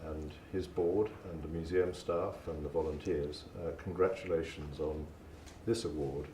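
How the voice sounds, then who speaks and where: A man's voice: very deep voice, steady tone, moderate pace, mellow. A man giving a formal award presentation speech as a museum director. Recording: distant, echoey, noisy room recording.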